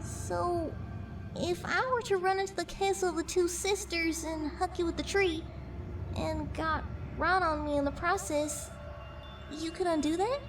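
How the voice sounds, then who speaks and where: A woman's voice: extremely high pitch, fairly even intonation, unhurried, recorded from a distance, over music. A woman playing a skeptical, plainspoken young pony with a folksy Southern US accent in an audio drama. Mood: cautious questioning. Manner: folksy, blunt, doubtful. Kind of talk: fan audio drama acting.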